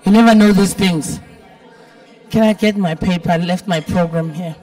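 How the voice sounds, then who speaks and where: A woman's voice: lowish voice, moderate pace, dark tone. A woman with a South African accent and a big, heavy-timbred voice, hosting a live event with chatty, teasing, informal banter. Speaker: event host. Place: event venue with a dinner audience. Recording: live event, distant from the mic.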